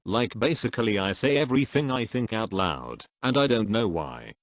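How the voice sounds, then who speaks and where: A man reading online comments aloud in an even, steady way. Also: fairly low pitch, moderate pace, no room sound, studio quality.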